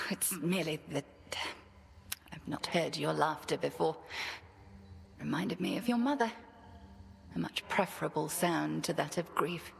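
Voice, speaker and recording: An actress playing a proud, stern witch titaness mentor in a video game, speaking in a grand, measured, archaic way. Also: sits low, vocal fry, slight background noise, heavily acted. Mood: solemn, tender.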